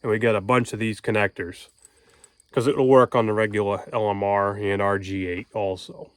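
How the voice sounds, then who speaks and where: A man's voice: lowish voice, flat delivery, normal speed, dry recording. A man talking casually and informally in a folksy way, explaining things as he goes. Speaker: homesteader and amateur radio operator vlogging.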